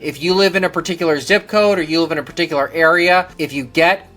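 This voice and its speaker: Bright-voiced man giving practical advice. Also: on the high side, quick pace, faint music behind. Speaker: presenter of a disaster preparedness show. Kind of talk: instructional talk to viewers.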